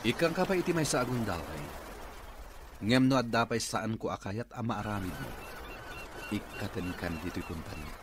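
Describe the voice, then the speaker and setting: A man's voice: fairly low pitch, expressive, normal speed, pauses often, lightly acted. A man playing a stern, curt office boss, with an Ilocano accent, in radio drama acting. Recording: noisy radio drama recording.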